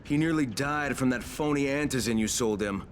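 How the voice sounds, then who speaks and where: A man's voice: medium pitch, moderate pace, slight background noise, natural voice. A man playing a tough young survivor in a video game, speaking in a firm, terse and insistent way. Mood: stern, threatening. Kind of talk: video game cutscene dialogue.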